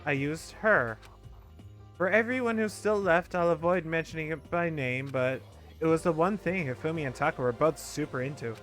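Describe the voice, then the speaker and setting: A proud teenage girl gambler's lines, read aloud by a man in his own voice. The voice is a touch high, and the reading stays casual and only lightly dramatic. Faint game music plays behind. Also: normal speed, a bit bright, natural voice.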